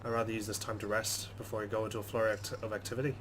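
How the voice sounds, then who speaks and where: A man's voice: on the low side, little pitch movement, very fast, noisy background. A man hosting a let's play, reading game narration aloud with casual commentary.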